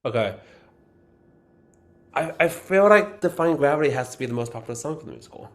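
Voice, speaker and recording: A man's voice: fairly high pitch, lively intonation, a little fast. Man chatting eagerly as a podcast guest. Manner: thinks aloud, eager, musical fan. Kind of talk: casual chat.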